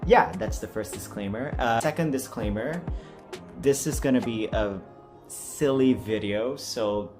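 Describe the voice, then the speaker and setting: A man's voice: medium pitch, a little slow, darkish tone, faint music behind. A man chatting casually and unscripted as a video host, rambling in an apologetic, self-deprecating way. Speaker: amateur voice actor and video host. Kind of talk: casual unscripted vlog chat.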